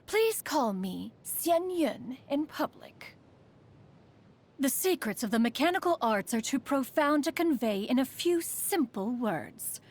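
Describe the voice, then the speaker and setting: A woman's voice: high voice, sing-song, slow pace, darkish tone, heavily acted. A woman playing a proud, aloof immortal adept who secretly cares, speaking in formal, archaic phrases as a video game character. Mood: lofty, composed. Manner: haughty, archaic formal speech, refers to self as "one".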